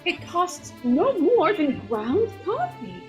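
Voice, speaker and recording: A woman acting an excitable, high-strung female character in 1940s radio style, melodramatic and exclaiming. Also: slow, soft background music, full character voice. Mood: surprised, delighted.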